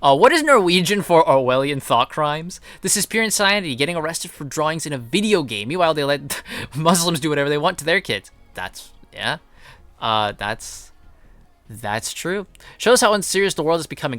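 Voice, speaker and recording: Indignant man with a bright, forceful voice. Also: fairly high pitch, no room sound. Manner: indignant, blunt, swearing emphatically. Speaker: commentary channel host. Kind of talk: unscripted opinionated news commentary.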